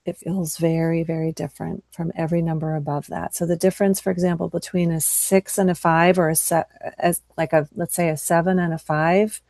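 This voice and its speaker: A woman working as a tapping practitioner, calling out tapping points in short, soft cues and then explaining at length with self-corrections. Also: sits low, fairly even intonation, fairly quick, vocal fry, few pauses, no room sound.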